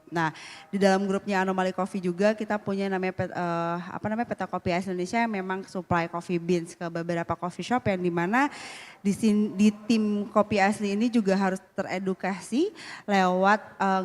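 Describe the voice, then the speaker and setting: A woman speaking as a panel guest, hesitant and full of fillers, mixing in English phrases. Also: medium pitch. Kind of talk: conference panel talk.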